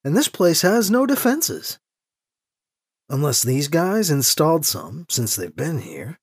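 A man plays an anxious science fiction hero in an audio story. He has a husky voice and lively intonation, muttering terse, sardonic thoughts to himself. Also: a little high, slow, full character voice. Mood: wry worry. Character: wry, anxious adult male protagonist thinking aloud. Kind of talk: audio story character acting.

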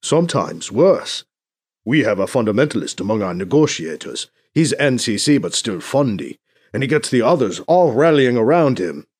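A man voicing an exasperated, cautious church leader in an audiobook, earnest and gently challenging. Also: medium pitch, very animated, moderate pace, slightly rough. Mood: resigned.

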